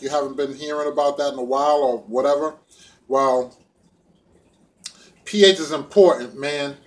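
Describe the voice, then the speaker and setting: A middle-aged man vlogging about vegan food, talking to the camera in a laid-back, playful, colloquial way as he eats. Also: fairly high pitch. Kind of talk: casual chat to camera while eating. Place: small room indoors at home. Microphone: phone or camera propped within arm's reach.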